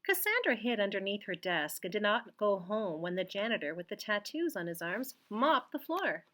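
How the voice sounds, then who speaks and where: A woman's voice: medium pitch, very animated, moderate pace, fairly bright tone. A woman who works as a teacher reads a children's picture book aloud. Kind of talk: children's storybook read-aloud.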